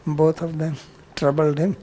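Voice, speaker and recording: An older man teaching a spiritual class and answering students' questions in a calm, plain-spoken way with dry humor. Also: on the high side, unhurried, slight background noise.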